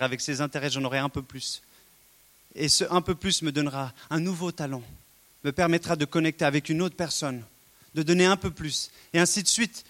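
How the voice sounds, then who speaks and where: A man's voice: a little high, a bit bright. Earnest male preacher. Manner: earnest, exhorting, with rhetorical questions. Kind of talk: sermon.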